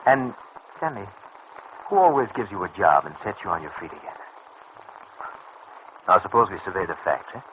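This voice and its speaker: An actor in an old radio serial playing a suave, scheming crime boss who coaxes and patronises in precise, persuasive phrases. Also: male voice, sits low, very animated, moderate pace, pauses often, a bit bright, highs cut off. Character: smooth, scheming male racket boss, coaxing and patronising. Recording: old radio recording with faint noise.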